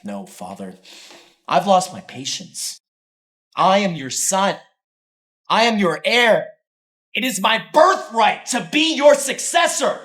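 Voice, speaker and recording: A man's voice: on the low side, expressive, fairly quick, husky. An actor playing a scheming young son who taunts his sister and demands his inheritance. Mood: indignant. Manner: smug, taunting, then indignant. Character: scheming young male heir, cocky rival son. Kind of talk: melodrama acting.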